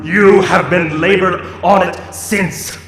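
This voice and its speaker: A mournful dead businessman's ghost for a radio play. The delivery is slow, declamatory and drawn out, with a forceful, creaky edge. It rings through a long hall reverb with music behind. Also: high-pitched, steady tone, full character voice. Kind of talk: theatrical radio play acting.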